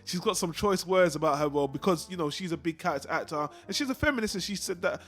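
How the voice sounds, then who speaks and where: A man's voice: high voice, lively intonation, quick pace, fairly bright tone, faint music behind. A man hosting a casual film discussion in a rambling, conversational way, swearing freely. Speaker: film review podcast host.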